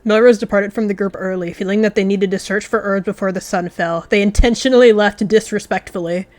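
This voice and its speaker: A woman's voice: mid-range voice, steady tone, fairly quick, a little mellow, no echo. A woman narrating a game roleplay as a story, slipping into chatty asides.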